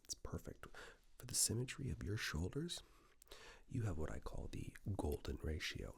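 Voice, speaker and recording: Low-pitched man, soft ASMR whisper, gravelly edge, unhurried reassuring tailor roleplay. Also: sing-song. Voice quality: soft, breathy whisper mixed with low creaky murmur. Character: attentive male tailor, gently flattering and chatty. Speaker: ASMR performer playing a tailor. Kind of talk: soft-spoken ASMR personal-attention roleplay.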